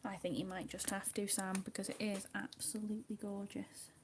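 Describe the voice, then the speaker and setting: Woman, livestream host, soft half-whispered chatter, hesitant, mid-pitched voice. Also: level tone, normal speed, slight background noise. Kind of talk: casual chat while colouring.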